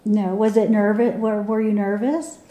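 A woman's voice: medium pitch, little pitch movement, normal speed, warm tone. A woman interviewer asking questions in a casual, conversational way. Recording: echoey room, off camera.

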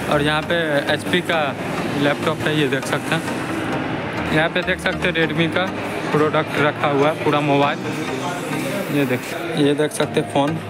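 A man's voice: a little high, poor audio. A man giving a casual walking tour of a large indoor shopping mall, filming on his phone and repeatedly pointing things out to viewers. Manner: repetitive pointing-out, "ye dekhiye" refrains. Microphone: phone camera held while walking.

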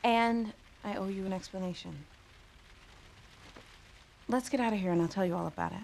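A woman's voice: mid-range voice, normal speed, gravelly, darkish tone, slight background noise, lightly acted, rough recording. A woman acting a bold, teasing teenage rebel in a video game, with an American accent and a casual, coaxing way of talking.

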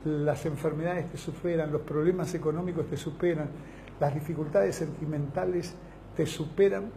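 A man's voice: fairly high pitch, fairly even intonation, normal speed, vocal fry, mellow, a little hiss or hum. A middle-aged man with an Argentine accent, a pastor giving a fervent televised invitation from a small studio set, speaking in long emphatic lists. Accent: Argentine Spanish.

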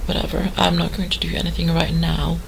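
Give me a hoarse, very gravelly woman chatting casually while gaming. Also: on the low side, monotone, brisk, a little hiss or hum. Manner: chatty, rambling, full of fillers. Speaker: gamer. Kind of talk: let's play commentary.

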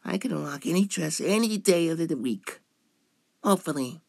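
A man's voice: on the high side, expressive, moderate pace, vocal fry, no room sound. A young man playing a cute, shy, playful femboy in an ASMR roleplay, coy and hesitant, drawing out his words. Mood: soft, pleased.

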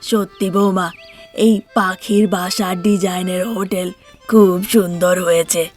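Even-toned kindly older father-in-law acted by a woman. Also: fairly low pitch, a little slow, faint music behind, close to an everyday voice. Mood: grateful. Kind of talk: Bengali cartoon voice acting.

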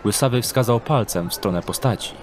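A man's voice: mid-range voice, a little fast, darkish tone, slight background noise. A man reading scripted narration for an audio drama as its storyteller. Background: background music and street ambience.

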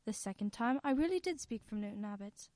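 A woman playing a resolute, vengeful middle-aged mother in an old-time radio play, speaking firmly and deliberately. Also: a little high, lively intonation, darkish tone, no echo, lightly acted. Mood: firm, accusing. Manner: firm, deliberate, declarative.

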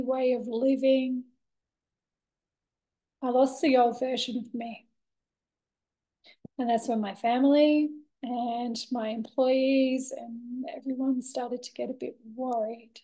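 A woman in her thirties giving a candid, reflective personal monologue to camera, expressive, with deliberate pauses and dramatic emphasis. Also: high voice, poor audio. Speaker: vlogger sharing a personal story. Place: bright indoor room with large windows. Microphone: laptop webcam at desk distance.

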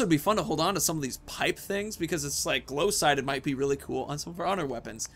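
A man's voice: fairly high pitch, a bit bright. A man in his thirties giving casual, chatty let's-play commentary, thinking aloud as he plays. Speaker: gaming video host. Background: video game sounds.

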